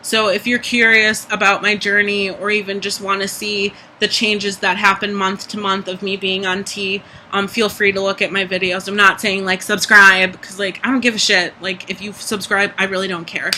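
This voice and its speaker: Brief: young adult vlogger, high voice, very bright tone, easy conversational delivery, light background hiss. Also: level tone, moderate pace, hardly any pauses, rough recording. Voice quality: high speaking voice. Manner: candid, chatty, frequent "like" and "um" fillers. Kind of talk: casual personal vlog update.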